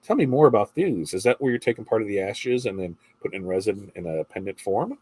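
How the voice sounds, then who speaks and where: A man's voice: fairly low pitch, animated, fast talker, darkish tone, breathy edge. A middle-aged man with a congested voice hosts a casual morning livestream, chatting and reading viewer comments in a rambling way.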